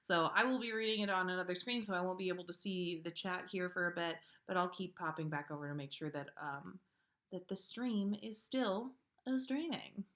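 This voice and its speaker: A woman hosting a story livestream, speaking in an informal, expressive way as she chats and reads fiction aloud. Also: on the low side, normal speed. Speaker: podcast host reading listener stories. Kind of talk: casual livestream chat, then storybook read-aloud.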